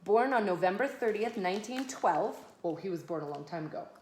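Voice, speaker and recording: A woman's voice: mid-range voice, lively intonation, a little fast, darkish tone. A mother reading a children's biography aloud at home, with casual asides to her kids. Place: echoey room at home. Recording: echoey room, faint background noise.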